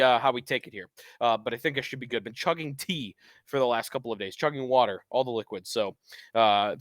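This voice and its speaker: A man hosting a sports podcast, talking in an energetic, opinionated, chatty way while getting over a cold and losing his voice. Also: fairly high pitch, dry recording. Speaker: podcast host.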